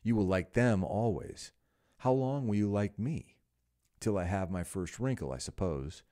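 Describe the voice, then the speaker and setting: A man's voice: low voice, very animated, normal speed, darkish tone. A man voicing an anguished young man, vain and afraid of growing old, who pleads melodramatically. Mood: bitter.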